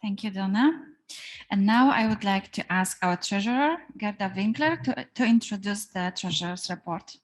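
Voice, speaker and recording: A woman's voice: mid-range voice, expressive. Woman president chairing a formal meeting. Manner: halting, formal, frequent fillers.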